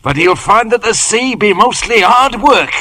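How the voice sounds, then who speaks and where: An actor playing a jovial, sly one-legged sea cook with a West Country accent and folksy, ingratiating sailor talk in a radio drama. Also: male voice, high voice, lively intonation, a little slow, pushed and bright, heavily acted. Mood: earnest, humble. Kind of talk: old-time radio drama acting.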